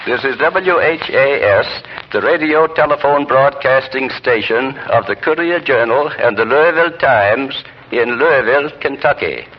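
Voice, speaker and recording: A man's voice: medium pitch, a little slow, few pauses. An American man giving a formal early radio station identification as its announcer. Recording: muffled vintage radio recording.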